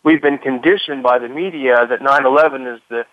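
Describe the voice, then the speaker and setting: A man's voice: mid-range voice, fairly even intonation, a little fast, no echo. A male guest with a slight cold, heard through a somewhat dull, muffled line that takes the shine off his voice. He speaks fluently and sounds dry and close. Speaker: guest commentator. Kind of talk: radio interview.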